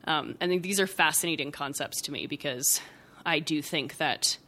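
A young woman, a podcast guest and writer, talking things through in a thoughtful, rambling way, with many fillers and soft laughs. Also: deep voice, normal speed. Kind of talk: casual reflective conversation.

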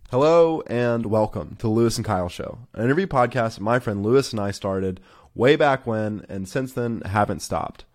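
A young man in his twenties welcomes listeners and guests to his interview podcast. He sounds relaxed and a little hesitant, with a fairly low voice. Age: 20s. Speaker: podcast co-host. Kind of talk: podcast hosting.

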